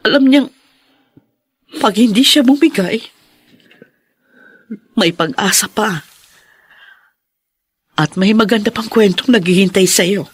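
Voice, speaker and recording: An actress in a Filipino radio drama playing a devoted, encouraging daughter-in-law, speaking in an earnest, heartfelt way. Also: female voice, mid-range voice, slow, long pauses, breathy edge, no echo.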